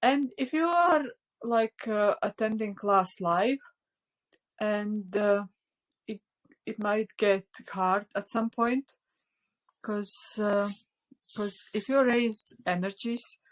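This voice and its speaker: Latvian-native woman teaching a live class, soft, lots of uhs and restarts, phone mic, middle pitch. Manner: unscripted, halting, many fillers and restarts. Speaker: spiritual teacher. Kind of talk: live online class talk.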